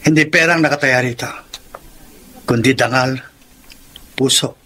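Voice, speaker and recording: Strained, bright-voiced Filipino troubled husband. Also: male voice, mid-range voice, moderate pace, husky. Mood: bitter, resigned. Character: troubled, defensive married man cornered by debts. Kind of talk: Filipino radio drama acting.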